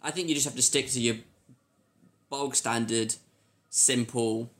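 A man's voice: medium pitch, steady tone, measured pace, fairly bright tone. A man speaking as a podcast co-host, in loose, informal conversation. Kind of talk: casual chat.

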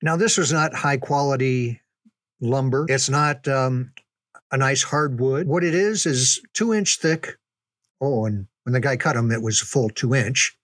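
An older man reminiscing in a casual, folksy way, unhurried, with pauses for emphasis. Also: medium pitch, a bit bright, dry recording. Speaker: podcast storyteller, reminiscing father. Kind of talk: casual conversational storytelling.

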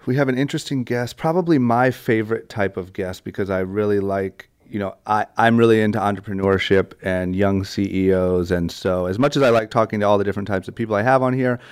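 A man's voice: on the low side, clear, resonant tone, dry recording, clean studio sound. A man hosting an interview podcast, talking in an eager, rambling way with lots of fillers and restarts. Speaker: podcast host. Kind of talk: casual interview chat.

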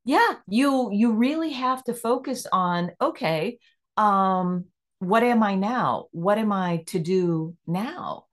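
A woman's voice: medium pitch, expressive, takes it slowly. A middle-aged woman speaking as a podcast guest, reflective and easy to laugh, voicing her own inner questions as she talks. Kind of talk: conversational interview.

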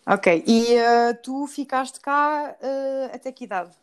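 A woman's voice: high-pitched, slow pace, clean tone. A woman from Switzerland hosting a podcast interview in a chatty, conversational way. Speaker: podcast host.

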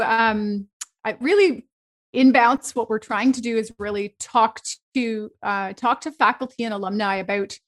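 A woman hosting an interview podcast, reading a scripted intro and then chatting with frequent restarts. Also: medium pitch. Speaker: podcast host.

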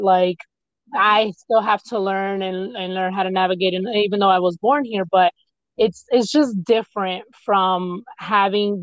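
A woman's voice: mid-range voice, no echo, muffled recording. A woman with an American accent hosting a podcast, speaking in an informal, conversational way. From United States. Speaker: podcast host. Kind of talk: casual interview chat.

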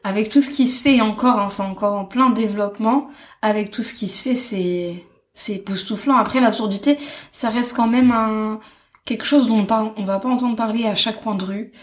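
A woman in her twenties, a guest sharing her story in a casual interview, speaking in a halting, thoughtful way with soft fillers. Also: mid-range voice. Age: 20s. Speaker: interview guest, stay-at-home mother. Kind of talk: casual personal testimony.

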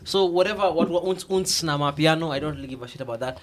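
Fast-talking Kenyan man, teasing banter. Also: fairly high pitch, a crowd in the background. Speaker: guest podcaster. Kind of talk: casual chat. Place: podcast studio.

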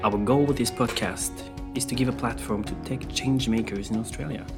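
A man hosting a podcast, reading a scripted introduction in an even, measured way. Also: medium pitch, over music. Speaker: podcast host. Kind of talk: scripted intro, then casual chat.

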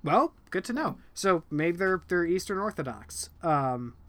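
Man, slightly high, bright tone, dry close recording, casual podcast chat, hesitant restarts. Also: a little slow, no room sound. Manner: animated, hesitant with many ums and restarts. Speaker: podcast co-host discussing a book.

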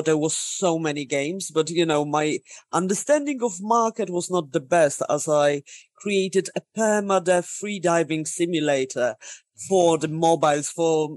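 A woman's voice: low-pitched, dry recording. A Polish woman in her thirties, a game designer talking casually in a podcast interview, self-deprecating and laughing often. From Poland.

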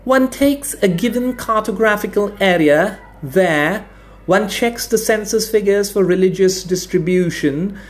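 A man putting on a pompous, high-voiced stout academic. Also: unhurried. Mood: smug lecturing. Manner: prim, self-satisfied lecturing, stammers when flustered. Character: stout bespectacled male academic mapmaker, pompous. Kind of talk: audiobook character voice.

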